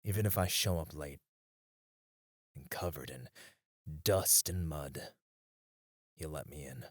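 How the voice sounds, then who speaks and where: A low-voiced, battle-weary knight acted by a man speaks slowly and intimately, as in a romantic audio roleplay. He sounds tender, wistful and devoted, with hesitant phrasing, in a close, dry recording. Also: no echo, full character voice. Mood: earnest, tender. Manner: soft, intimate, hesitant with small chuckles.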